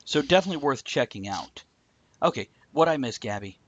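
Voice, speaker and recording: A man's voice: medium pitch, animated, fairly quick, dry recording, lo-fi sound. A man hosting a live-stream talk show, chatting casually and stumbling over words now and then. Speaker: show host.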